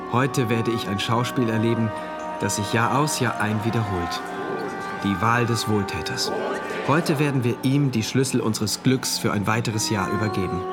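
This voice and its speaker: An actor playing an awed, uneasy diarist, a loyal citizen of a dystopia, narrating a German radio play in a measured, literary way. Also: male voice, mid-range voice, natural voice. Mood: solemn awe. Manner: measured, literary, solemn recounting. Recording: loud music under the voice. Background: music.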